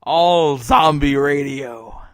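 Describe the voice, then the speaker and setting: An actor playing a bitter, despairing emergency radio broadcaster in a zombie apocalypse drama, halting, sardonic and self-berating in his monologue. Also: a little high. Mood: weary, grim. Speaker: radio announcer.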